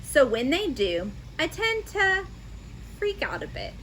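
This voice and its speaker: A woman's voice: high-pitched, big pitch swings, slow pace, fairly bright tone, a little hiss or hum, voice pushed up, exaggerated voice, low-quality recording. A woman voicing a stubborn, huffy young donkey in a children's storybook, complaining in an exaggerated, indignant way. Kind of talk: children's storybook read-aloud.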